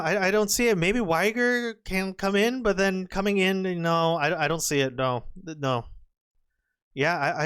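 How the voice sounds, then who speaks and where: Male game commentator, slightly hoarse, excitable and exclamatory, somewhat high voice. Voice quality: excitable, exclamatory, trails off and restarts.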